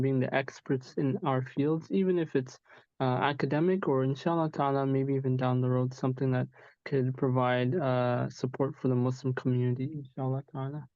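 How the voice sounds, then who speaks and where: A man hosting an online town hall on a video call, measured and speaking with frequent religious phrases over dull lo-fi call audio. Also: medium pitch, dark tone. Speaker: host and founder.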